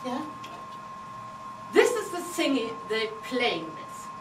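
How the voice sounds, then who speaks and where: A woman's voice: a little high, wildly swooping pitch, slow pace. German-accented woman giving a comic speech. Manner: playful, teasing, non-native phrasing. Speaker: contest speaker. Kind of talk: humorous contest speech. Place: meeting hall with audience. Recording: echoey hall from far off, noisy.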